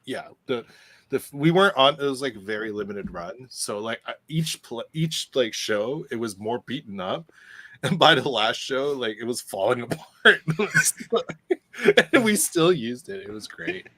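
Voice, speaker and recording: A man, a podcast guest, telling casual anecdotes in an animated way. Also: medium pitch, moderate pace, no echo.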